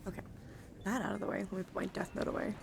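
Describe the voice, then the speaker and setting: A woman streamer chatting in a soft-spoken ASMR style, hushed and often slipping into a whisper, close to the microphone. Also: medium pitch, little pitch movement, rapid-fire, gravelly, noisy background. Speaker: livestreamer.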